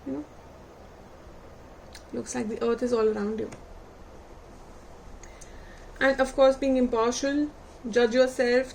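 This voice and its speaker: High, gravelly woman reading tarot. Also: a little mellow, a little hiss or hum, low-quality recording. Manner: unhurried, reflective, asks viewers questions. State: losing her voice. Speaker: tarot reader. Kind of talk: tarot card reading to viewers.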